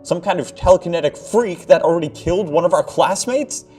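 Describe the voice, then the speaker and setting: A man voicing a panicked young male student who shouts and pleads with his voice cracking high, in a story reading. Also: very animated, normal speed, faint music behind, natural voice. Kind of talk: audiobook-style story reading.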